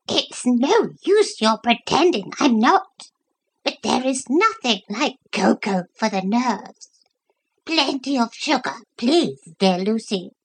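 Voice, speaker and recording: A woman's voice: a little high, breathy edge, pitched above the performer's own voice, full character voice, poor audio. A woman voicing a self-pitying, whining female pet dog in a storybook reading. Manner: whining, plaintive.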